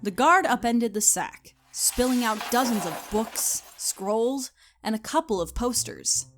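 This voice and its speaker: A woman's voice: a little high, animated, a little slow. Woman narrating a story over music.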